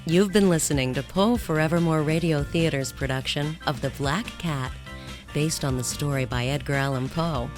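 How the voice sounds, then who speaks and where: A woman's voice: sits low, moderate pace, over music. A woman announcer reading scripted closing credits for a radio theater program.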